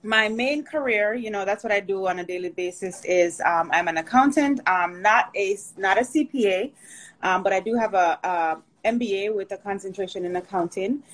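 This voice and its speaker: A woman talks as a guest on a podcast, her voice pitched in a middle range. She sounds chatty and upbeat, and she often stops to search for the next word. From Jamaica. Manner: chatty and upbeat, with frequent pauses to find words. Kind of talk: casual interview chat.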